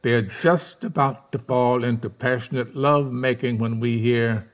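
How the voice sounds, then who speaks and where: A man's voice: medium pitch, fairly even intonation, unhurried. A man reading out stage directions as narrator of a live script table read.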